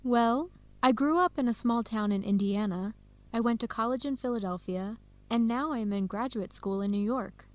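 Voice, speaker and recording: A friendly young American woman student, played by an actress in an educational radio drama. Her voice sits slightly high, and she talks in an easy, conversational way with an American accent. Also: normal speed, natural voice. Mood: open, easygoing. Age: young adult.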